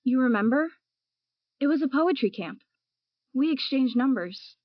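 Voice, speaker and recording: A woman's voice: sits high, animated, a little slow, no room sound, lo-fi sound. A woman playing a mysterious girl who texts in a casual, offhand way. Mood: breezy.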